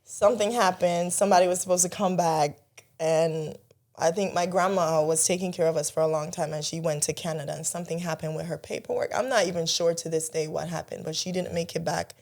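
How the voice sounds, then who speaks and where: A woman's voice: fairly low pitch, fairly quick. A woman from Jamaica, a podcast guest telling her life story in earnest, casual conversation. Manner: earnest, storytelling, animated when recalling childhood.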